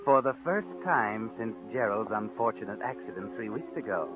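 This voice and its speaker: An actor as the mysterious narrator of a 1940s radio mystery, telling the story in a knowing, wry way. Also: male voice, lowish voice, lively intonation, normal speed, close to an everyday voice. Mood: sly. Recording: tinny old radio broadcast with loud music.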